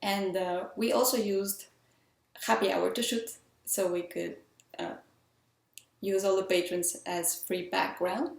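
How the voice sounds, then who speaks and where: A woman's voice: mid-range voice, level tone, takes it slowly, gravelly, long pauses. Chatty Mexican-accented woman in a roomy space. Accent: Mexican, with some French influence. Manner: earnest, chatty and enthusiastic. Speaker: independent filmmaker. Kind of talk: casual talk giving filmmaking tips. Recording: roomy echo.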